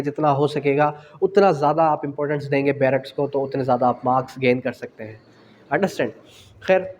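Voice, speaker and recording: A Pakistani man giving an exam-prep law lecture, didactic, repeating phrases and posing questions that he answers himself. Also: a little high. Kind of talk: exam-prep lecture mixing in English legal terms. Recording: long echoing reverb of a hall.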